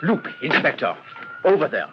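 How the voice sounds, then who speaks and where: An actor playing an excitable, commanding detective in a radio crime drama, speaking in urgent exclamations. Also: male voice, fairly high pitch, normal speed. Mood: urgent, excited. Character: excitable, commanding male detective hot on a trail. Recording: dull radio drama recording with clear noise.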